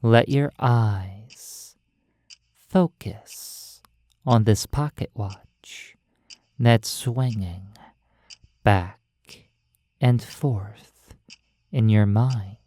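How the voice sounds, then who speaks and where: A hypnotist guiding a sleep induction in a soothing, measured voice with deliberate pauses. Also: medium pitch, warm tone, dry recording. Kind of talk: slow hypnosis sleep induction, guided imagery. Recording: faint ticking clicks behind dry close voice. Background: ticking pocket watch.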